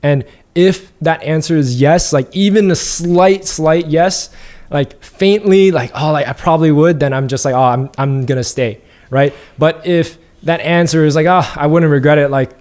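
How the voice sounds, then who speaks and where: A man's voice: fairly high pitch, moderate pace, darkish tone. A young man, a podcast guest, talking casually and rambling with lots of fillers and restarts. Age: young adult. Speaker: podcast guest, apparel brand founder. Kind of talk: casual interview conversation.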